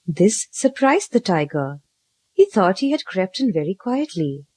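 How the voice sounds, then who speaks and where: A woman narrating a children's story from a script, with an expressive, dramatic read-aloud delivery. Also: medium pitch, unhurried, slightly rough, no echo.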